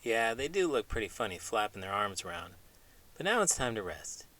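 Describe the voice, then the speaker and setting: A man reading a children's storybook aloud with animated, dramatic emphasis, in the manner of an elementary school teacher. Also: on the low side, moderate pace, dry recording, low-quality recording. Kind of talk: expressive storybook read-aloud with puppet banter.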